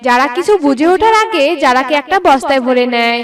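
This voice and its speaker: Female narrator for story narration: high-pitched, scripted delivery, a touch distant. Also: lively intonation, a little fast.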